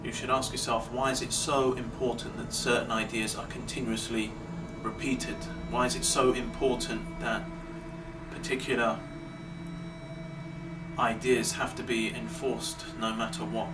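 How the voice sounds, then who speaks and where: A man delivering a deliberate, emphatic monologue of social commentary, pausing between phrases. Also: medium pitch, little pitch movement, over music. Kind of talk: slow rhetorical monologue. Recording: distant mic, clearly noisy background.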